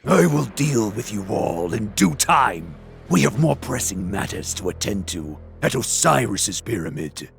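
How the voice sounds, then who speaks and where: An actor playing a menacing, scornful male villain with a gravelly voice in a video game cutscene. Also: lowish voice, big pitch swings, soft background music, exaggerated voice. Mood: mocking. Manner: slow, theatrical, mocking.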